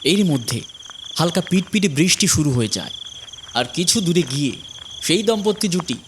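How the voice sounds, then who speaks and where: A man's voice: a little high, animated, normal speed, noisy background. A man narrating a scripted horror story in a dramatic storytelling style.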